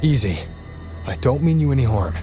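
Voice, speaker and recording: An actor playing a calm young male wanderer, the hero of a video game, speaking in a composed and direct way. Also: medium pitch, moderate pace, natural voice. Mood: calm, reassuring. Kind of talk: English game voice acting. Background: game music.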